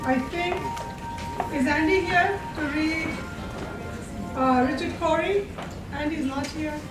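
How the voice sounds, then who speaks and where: A woman's voice: sits high, slow, loud music behind the voice. An older woman organizing a college poetry event, calling up readers and giving instructions casually. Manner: casual, with fillers. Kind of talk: event announcements. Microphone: podium mic. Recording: echoey room, distant mic, loud noise.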